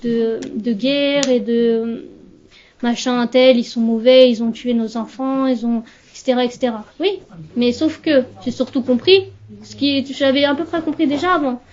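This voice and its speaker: A young woman whose first language is Urdu, talking reflectively in an interview and often pausing to search for words. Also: a little high, fairly even intonation, a little mellow. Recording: slightly muffled recording.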